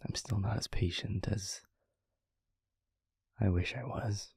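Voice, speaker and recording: A man playing a gentle, reflective demon mentor in an intimate audio roleplay, speaking softly and haltingly in a low, breathy, gravelly voice. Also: no echo, clean studio sound, exaggerated voice. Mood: tender, sincere. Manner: halting, intimate, soft-spoken with long pauses. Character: gentle reflective male demon steward, fondly confiding.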